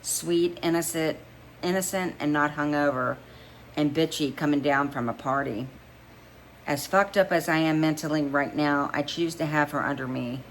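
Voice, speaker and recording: A woman's voice: deep voice, moderate pace, slight background noise, low-quality recording. A woman narrating a first-person story as an amateur reader, stumbling now and then and rereading phrases.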